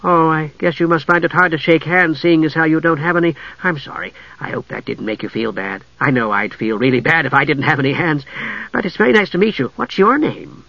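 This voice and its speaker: Man voicing chirpy high-pitched little Kender adventurer. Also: lively intonation, fairly quick, creaky, dry recording, highs cut off. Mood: chatty, polite. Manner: eager, chirpy, rambling. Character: cheerful, fearless, chatty little male Kender adventurer.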